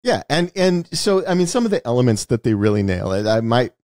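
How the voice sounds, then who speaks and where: A man's voice: medium pitch, big pitch swings, fairly quick, darkish tone, no echo. Man chatting about films, close dry sound. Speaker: podcast co-host, television producer. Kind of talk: casual film review chat.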